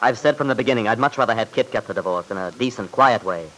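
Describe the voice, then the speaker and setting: An unyielding young father in an old-time radio play. His voice is fairly low and he talks briskly, staying polite and earnest while holding firm. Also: pushed and bright, rough recording. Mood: defiant.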